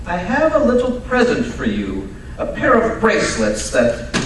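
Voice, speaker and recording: A man playing a brisk, learned Victorian detective in a live old-time radio drama, precise, commanding and drily teasing. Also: high-pitched, wildly swooping pitch, exaggerated voice. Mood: cool, mocking. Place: live stage performance at radio club event. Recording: very echoey hall, distant mic, faint noise.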